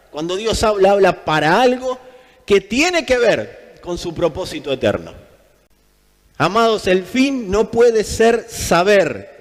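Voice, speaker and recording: Argentine male preacher in a reverberant hall. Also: high voice. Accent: Argentine Spanish. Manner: emphatic, repeats phrases for effect. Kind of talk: sermon.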